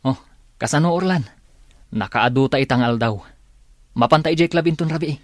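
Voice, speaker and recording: A man's voice: fairly high pitch, fairly quick, no room sound, clean studio sound, close to an everyday voice. A man playing a grumbling small-time crook eager for easy money in an old radio drama. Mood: restless, grumbling.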